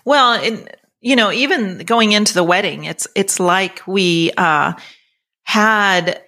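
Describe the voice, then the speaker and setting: Bright-voiced woman in her sixties, reflective. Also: mid-range voice, takes it slowly. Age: 60s. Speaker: podcast guest. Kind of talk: conversational personal storytelling.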